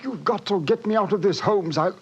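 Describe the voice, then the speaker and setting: High, husky, groggy desperate villain. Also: male voice. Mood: desperate pleading. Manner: halting, stammering, groggy. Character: drugged, desperate murderer, dazed and pleading. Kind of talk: 1940s radio drama acting. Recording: muffled 1940s radio recording.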